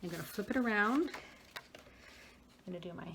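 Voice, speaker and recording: A slightly hoarse woman casually demonstrating a paper-craft tool while she works at her table. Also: medium pitch, animated, fairly quick, fairly bright tone, slight background noise. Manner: chatty, talks while working, frequent restarts. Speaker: craft tutorial host. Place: craft room at a work table.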